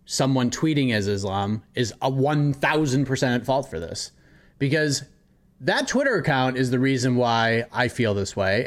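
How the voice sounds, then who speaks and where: A man co-hosting a podcast in casual chat, animated and ranting with mock outrage. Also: medium pitch. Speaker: podcast co-host.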